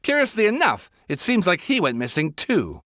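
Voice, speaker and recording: A man's voice: sits high, huge pitch swings, normal speed, heavy background noise, heavily acted. An actor playing an eccentric, showy male writer and researcher who talks in a theatrical, grandstanding way. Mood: eager. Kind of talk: video game voice acting. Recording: game cutscene with background music.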